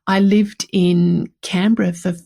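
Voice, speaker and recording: A woman's voice: mid-range voice, little pitch movement, a little slow, creaky, dark tone. A woman in her fifties with an Australian accent, reflecting casually and candidly as a podcast host, chatty and repeating words for emphasis. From country New South Wales, Australia. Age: 50s. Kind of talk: personal reflective monologue, casual.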